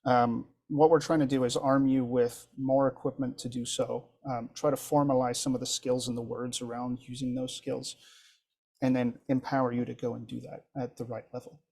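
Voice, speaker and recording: A man whose first language is French, leading an instructor training session in a relaxed, encouraging way over a video call. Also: medium pitch, moderate pace, creaky. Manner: relaxed, encouraging, thinks aloud with ums. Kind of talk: casual group video-call discussion.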